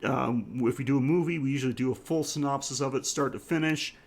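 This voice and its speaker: A man's voice: medium pitch, fast. A man co-hosting a podcast, chatting casually through the show's sign-off and listener plugs. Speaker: podcast co-host. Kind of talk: casual podcast outro chat.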